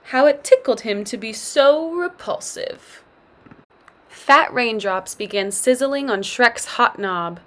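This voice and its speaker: A woman's voice: on the high side, expressive, takes it slowly, fairly bright tone. A woman teacher reading a storybook aloud to pupils.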